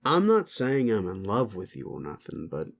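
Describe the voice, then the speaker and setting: A man playing a gruff, sleazy werewolf boss with a hidden soft side, talking rough slang in an intimate, halting audio drama style. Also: lowish voice, huge pitch swings, exaggerated voice. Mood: gruff, flirtatious.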